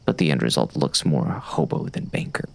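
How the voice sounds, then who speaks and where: An actor playing a wry, brooding bounty hunter narrating a western audiobook in a gravelly voice. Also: male voice, extremely low pitch, lively intonation, normal speed, heavily acted. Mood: wry, watchful.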